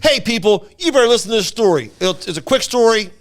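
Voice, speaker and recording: A man with a smooth, fairly high voice spins a casual story on a podcast. He rambles along, stumbling over words and circling back to repeat phrases. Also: lively intonation, fast talker. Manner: rambling, stammering, repeats himself. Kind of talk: casual anecdote telling.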